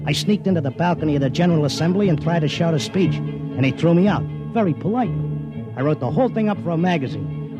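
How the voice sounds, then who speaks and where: An actor playing a brash, slangy racetrack tipster who also narrates, in a 1950s radio drama. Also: male voice, a little high, lo-fi sound, natural voice. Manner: streetwise and slangy. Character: brash fast-talking racetrack tipster, middle-aged man. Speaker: narrator and lead.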